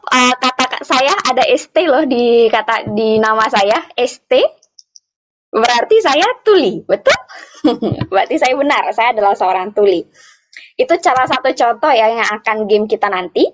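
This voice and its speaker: High-voiced woman on a muffled online call. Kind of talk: spoken live interpretation of an interactive online lesson. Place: online video meeting.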